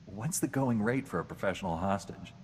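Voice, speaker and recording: Game casting: a male actor as a skeptical, dry-witted Jedi, low-pitched, faint background hiss. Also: a little fast, big-room sound, lightly acted. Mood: teasing. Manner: dry, deadpan questions. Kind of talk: video game dialogue acting.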